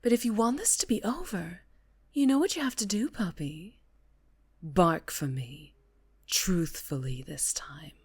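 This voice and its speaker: A woman playing a sadistic, seductive mafia boss in an ASMR roleplay, teasing and menacing, often dropping into breathy whispers. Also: on the low side, lively intonation, a little slow, full character voice. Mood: seductive menace.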